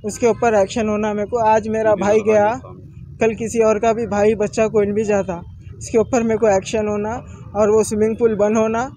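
A young man talks to the press on an outdoor street into a bunch of news microphones. His voice sits high and stays flat, with a soft, mellow tone. He speaks haltingly, repeats himself, and slips English words into his speech. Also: slight background noise. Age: young adult. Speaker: bereaved brother giving a statement. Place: outdoors on a street before a crowd. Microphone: cluster of press microphones.

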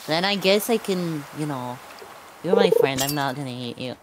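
A woman in a casual live stream chat, teasing her friends with slow, deadpan mock threats. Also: sits low, noisy background. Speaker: vtuber guest playing a shark character. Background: game music.

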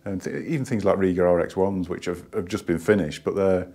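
A hoarse man in his fifties with a northern English accent, talking casually into a camera held close in a living room. His voice sits fairly low, and he rambles and restarts often, dropping in plenty of ums. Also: brisk, warm tone. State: hoarse from a cold. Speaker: hi-fi shop owner reviewing speakers. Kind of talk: casual product review. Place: living room at home, indoors.

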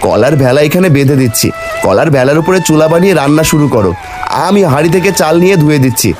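A man's voice: on the high side, expressive, fast talker, lightly acted. A man playing a poor, caring village fisherman and father in a cartoon, speaking earnestly. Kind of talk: cartoon story dubbing. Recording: loud music behind the voice. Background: music.

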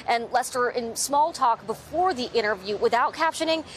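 A woman reporter narrates a TV news segment in measured, formal sentences. Her voice sits somewhat high. A faint background ambience sits behind her. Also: animated, a little hiss or hum.

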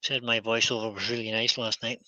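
A man with a Scottish accent hosting a live woodturning stream, chatting in a relaxed way as he works in an indoor workshop. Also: medium pitch, a little fast, dry recording, lo-fi sound. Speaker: woodturner host. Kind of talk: casual chat while demonstrating.

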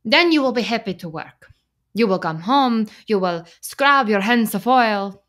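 A woman acting in a radio play as a clever, soothing immigrant wife, speaking measured, formal English. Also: mid-range voice, expressive, a bit bright. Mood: reassuring.

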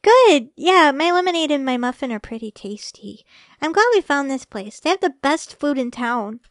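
A high, close and dry young female voice acted by a woman plays a sweet girlfriend in a romantic ASMR roleplay. She is shy and affectionate, speaking softly and hesitantly, easily flustered. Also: expressive, moderate pace, dry recording. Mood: affectionate, playful. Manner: soft, hesitant, affectionate, stammering when flustered.

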